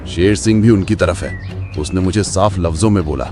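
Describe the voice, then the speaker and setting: A man's voice: deep voice, normal speed, dark tone, close to an everyday voice. A man voicing a gullible, resentful wolf servant in a cartoon, earnest and dramatic. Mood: indignant. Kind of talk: Hindi cartoon voice acting. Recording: background music behind the voice.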